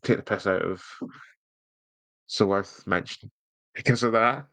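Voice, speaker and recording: Low-voiced British man, wry podcast banter. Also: fairly even intonation, measured pace, fairly bright tone, no room sound. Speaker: podcast co-host. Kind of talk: casual banter.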